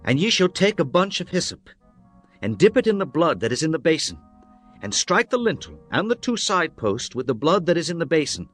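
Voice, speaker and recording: A man's voice: a little high, sing-song, brisk, a bit bright. A man playing a commanding prophet who addresses his elders in a solemn, declamatory way, in a dramatised scripture reading. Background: music.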